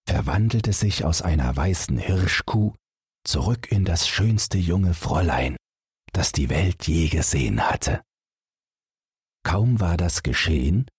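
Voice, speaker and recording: A man with an extremely low, husky voice reads a fairy tale for bedtime. His delivery is measured and expressive, in the way of an audiobook storyteller. The sound is dry and close. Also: no echo. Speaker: audiobook narrator.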